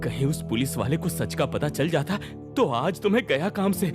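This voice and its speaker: A man's voice: on the high side, lively intonation, moderate pace, natural voice. A man voicing a greedy, nervous village milkman for a children's cartoon story, with a flustered, stammering, exclamatory manner. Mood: relieved panic. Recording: music behind the voice.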